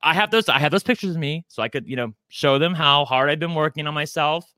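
A man's voice: a little high, fast talker, pushed and bright, no room sound, studio quality. Chatty, campy man in his forties. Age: 40s. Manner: chatty, campy, self-deprecating storyteller. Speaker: podcast co-host. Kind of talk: casual chat.

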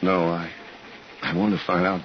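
An actor playing a guarded, awkward undercover man posing as a friendly traveler in a 1950s radio drama, with halting, understated delivery. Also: low voice, flat delivery, lightly acted. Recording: muffled, hissy 1950s radio recording.

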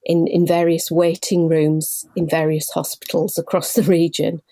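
A woman in her forties from Leeds, a guest telling her story in a measured, thoughtful way with frequent pauses. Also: deep voice, level tone, dark tone, dry recording. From Leeds, England. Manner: measured and thoughtful, pausing often. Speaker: guest, patient involvement manager. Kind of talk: reflective personal storytelling.

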